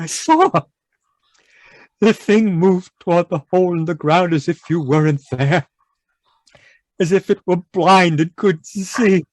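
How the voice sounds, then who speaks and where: An actor playing an anguished, haunted man in an old-time radio horror monologue, halting and repetitive in a melodramatic way. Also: a little high, a little mellow, no room sound. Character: anguished, haunted man raving about monstrous giant worms.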